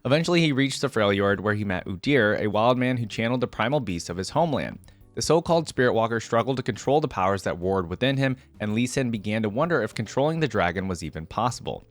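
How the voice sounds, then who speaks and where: A man's voice: on the low side, expressive, fast, soft background music. A man hosting a reaction channel, speaking informally and enthusiastically, moving between casual commentary and reading aloud. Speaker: host of a character lore reaction channel.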